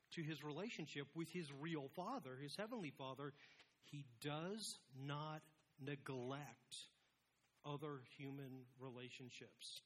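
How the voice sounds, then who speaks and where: A man's voice: a little high, fairly bright tone, slightly rough. A man preaching an informal, conversational sermon as a pastor, with a casual, self-deprecating manner.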